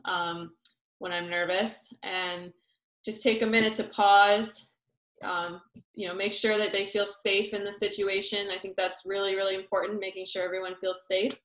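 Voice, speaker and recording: Young adult woman, mid pitch, friendly and hesitant, roomy video-call sound. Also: fairly bright tone. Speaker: Olympic sailor reading out questions. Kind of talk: casual online Q&A. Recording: echoey room on a video call.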